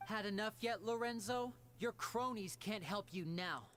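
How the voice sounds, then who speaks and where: A woman playing a calm young detective. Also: mid-range voice, slight background noise, close to an everyday voice. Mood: confronting. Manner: calm, deliberate reasoning. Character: sharp young woman detective unmasking a killer. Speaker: investigator character in a video game. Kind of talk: game voice acting.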